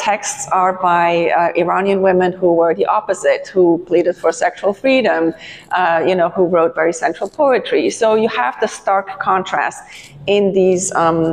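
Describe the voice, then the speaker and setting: A woman curator walks docents through a gallery, talking loosely with frequent ums and self-corrections. Her voice has a gravelly creak, and it rings with long reverb in a big museum hall. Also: lowish voice, normal speed, a little hiss or hum. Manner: conversational, many ums and restarts. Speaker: curator leading a tour.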